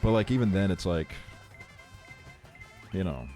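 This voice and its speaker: A man's voice: deep voice, expressive, a little slow, warm tone, faint music behind. A man from Estonia livestreaming a video game, chatting casually in slangy, sweary talk. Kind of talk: casual chat while streaming a video game.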